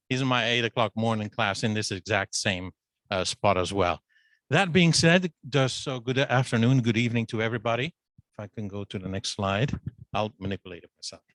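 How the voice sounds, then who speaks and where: A man's voice: on the low side, fairly bright tone, no echo, poor audio. A middle-aged man from Belgium with a Flemish accent, a business school dean introducing himself at a student meeting in a loose, chatty way. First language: Dutch. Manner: loose and conversational, restarts with fillers. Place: meeting with a Zoom link. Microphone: handheld microphone.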